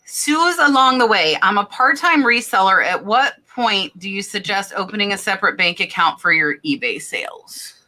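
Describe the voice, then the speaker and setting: A woman's voice: mid-range voice, expressive, moderate pace, few pauses, bright, forceful tone. A woman from Florida with an American accent, hosting a casual live chat and answering viewer questions with blunt, practical advice. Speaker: host of a reseller live show.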